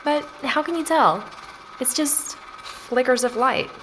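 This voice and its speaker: An actress playing an interviewer who asks short, curious questions in an audio drama. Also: female voice, high-pitched, slow, noisy background. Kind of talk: scripted audio drama dialogue.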